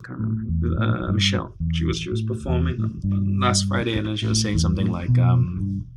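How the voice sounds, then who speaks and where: A man who teaches singing, chatting casually as a guest with a boomy, projecting voice and a loud, playful manner. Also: fairly quick, gravelly, loud music behind the voice. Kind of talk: casual conversation.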